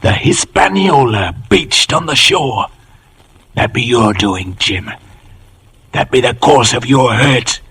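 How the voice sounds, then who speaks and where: An actor playing a wily leader in an old radio drama, gravelly, wheedling and commanding by turns. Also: male voice, medium pitch, animated, slow pace, a bit bright, full character voice. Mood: coaxing, hushed. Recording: noisy vintage radio broadcast.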